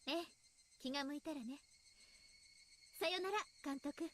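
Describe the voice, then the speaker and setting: Teenage anime girl, very high voice, quick, sulky and teasing, faint crickets behind. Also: fairly bright tone, slight background noise. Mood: sulky, playful. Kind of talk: Japanese anime game acting.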